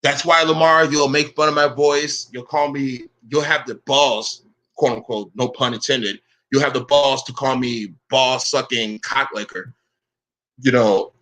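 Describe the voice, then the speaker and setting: A man's voice: mid-range voice, steady tone, a little slow, sharp tone, rough recording. A man ranting on a vlog, confrontational and profane, firing "bro" at his rivals. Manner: says he keeps the same voice with everyone. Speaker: online commentator. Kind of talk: angry vlog rant.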